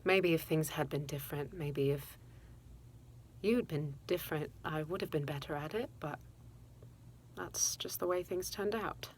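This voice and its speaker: An actress playing a regretful, quick-tempered mother confessing to her estranged son, in a halting dramatic monologue. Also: deep voice, slight background noise. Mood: regretful, subdued. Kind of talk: dramatic monologue, self-taped audition.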